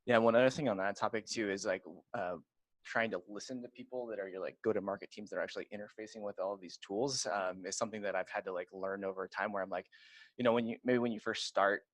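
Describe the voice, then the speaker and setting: A man's voice: on the low side, steady tone, quick pace, dry recording, poor audio. A man on a panel chatting very informally, with lots of filler "like" in his advice. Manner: informal, peppers speech with "like". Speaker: marketing panelist. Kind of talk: casual chat.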